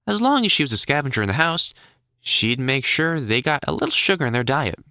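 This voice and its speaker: Expressive male storybook narrator, dry close sound. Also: medium pitch, brisk, no echo.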